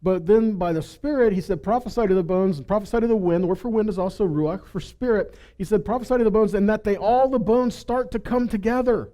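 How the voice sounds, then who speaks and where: A man's voice: high voice, a little fast, hardly any pauses, dark tone. A man teaching from the Bible in a conversational sermon style, reading scripture and breaking in with rhetorical questions and emphatic repetition.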